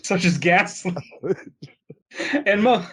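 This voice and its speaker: A man's voice: high voice, takes it slowly, a bit bright, slightly rough. Rambling, playful male podcast host. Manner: rambling, playful, mock-explanatory. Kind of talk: casual comedic riffing.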